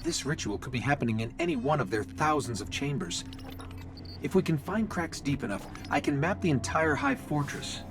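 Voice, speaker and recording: A small, curious robot companion from a video game. The voice sits fairly high and swings expressively as it briefs urgently. Loud music plays behind it. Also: male voice, sing-song, normal speed. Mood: intent.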